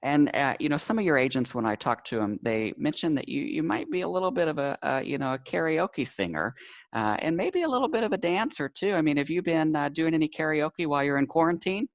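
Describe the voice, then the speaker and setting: A man's voice: on the high side, animated, fairly quick, no echo. A man hosting a casual live interview, friendly and upbeat, laughing often. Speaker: interview host.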